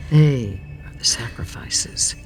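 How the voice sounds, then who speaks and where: An actress playing a solemn, ageless female keeper of death in a horror audio drama, gentle but resolute, with a gravelly, deliberate delivery. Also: a bass voice, lively intonation, in a whisper, exaggerated voice. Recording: faint music underneath.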